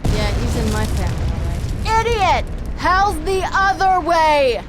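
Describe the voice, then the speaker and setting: A woman's voice: high voice, sing-song, unhurried, background noise. A woman playing a cocky, foul-mouthed young half-vampire killer in a video game, sardonic and swaggering. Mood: mocking.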